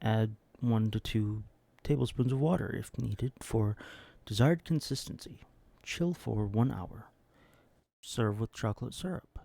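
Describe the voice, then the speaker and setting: A man with a low, gravelly, husky voice hosting a deadpan comedic reading of recipes. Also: unhurried, no echo. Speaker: host reading recipes and comments.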